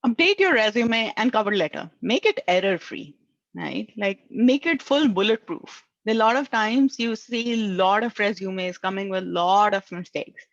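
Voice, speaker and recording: A woman's voice: mid-range voice, lively intonation, moderate pace, no echo, muffled recording. Indian-accented woman giving career advice. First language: Indian language. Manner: earnest, tags lines with "right?". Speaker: career coach. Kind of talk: advice talk.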